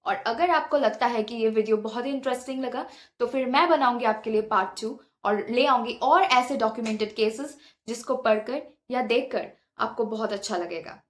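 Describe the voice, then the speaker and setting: A woman's voice: fairly high pitch, moderate pace. A woman hosting and narrating scripted paranormal stories in a dramatic, suspenseful way, mixing in English words. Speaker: video host and narrator.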